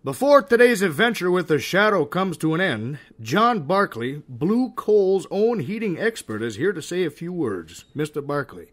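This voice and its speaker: A man's voice: high voice, very animated, normal speed, few pauses, soft background music. A man announcing from a script in 1930s radio style.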